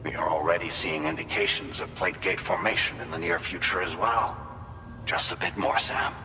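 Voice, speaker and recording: Composed commander, deep male voice, measured, reassuring, video game dialogue, reverberant hall. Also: very animated, sharp tone, heavily acted. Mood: solemn, encouraging. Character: composed male commander, solemnly encouraging his ally. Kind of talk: scripted game cutscene dialogue. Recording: long reverb, clear background ambience noise.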